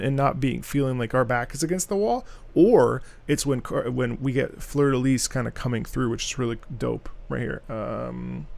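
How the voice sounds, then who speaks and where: A man's voice: mid-range voice, gravelly, darkish tone. A man hosting a livestream, giving casual, enthusiastic and effusive live commentary as he reacts to game music.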